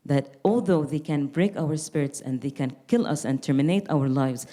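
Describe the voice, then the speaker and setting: Young woman from gaza talking on a panel, low mellow voice, thoughtful, restarts a lot. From Gaza Strip. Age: young adult. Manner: reflective, earnest, with frequent restarts. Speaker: artist. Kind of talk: panel conversation.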